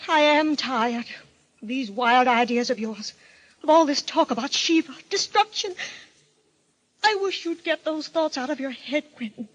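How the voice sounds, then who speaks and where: An actress playing a frightened, fraught wife in an old-time radio mystery, nervous and pleading. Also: female voice, high-pitched, measured pace, rough recording. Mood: distraught, weary.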